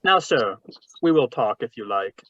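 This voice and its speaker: An actor playing a jovial, shrewd, long-winded crime boss in a radio drama, with florid formal speech, over a video call. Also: male voice, medium pitch, sing-song, a bit bright. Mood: genial. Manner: florid, formal, deliberate, genial. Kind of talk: 1940s radio drama read-through. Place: video call read-through. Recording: dull, dry video-call audio.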